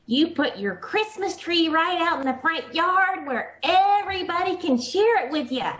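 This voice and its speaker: A woman's voice: very high voice, animated, measured pace, fairly bright tone, heavily acted. A woman playing an eager, inquisitive little neighborhood girl who gushes in childlike slang, in old-time radio comedy style. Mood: awed, admiring. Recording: roomy online call recording.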